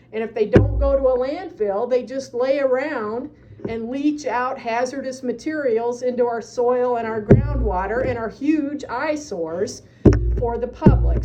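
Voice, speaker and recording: A woman's voice: high voice, warm tone, dull audio. A woman speaking earnestly at a county meeting, pressing officials to act. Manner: earnest, persuasive advocacy. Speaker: citizen addressing county supervisors. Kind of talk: public comment at a meeting.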